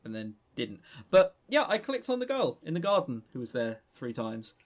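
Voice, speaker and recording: A man's voice: medium pitch, very animated, moderate pace. A man co-hosting a podcast, chatting casually and unscripted about games in a joking, teasing way. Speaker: podcast co-host.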